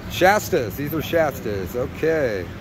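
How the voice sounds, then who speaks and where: A man's voice: medium pitch, big pitch swings. A man hosting a casual fishing report outdoors by a river, talking in an easygoing, rambling way with plenty of fillers. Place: outdoors by a river on a windy day. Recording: windy outdoor camera audio with engine noise. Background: wind, rushing water and a running truck.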